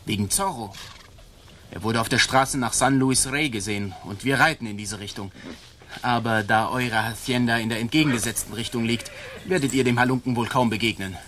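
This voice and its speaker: An actor playing a boastful, swaggering colonial army sergeant in a radio drama. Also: male voice, medium pitch, brisk, a little hiss or hum, lightly acted. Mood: businesslike. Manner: swaggering, theatrical, loud-mouthed. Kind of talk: German radio drama acting.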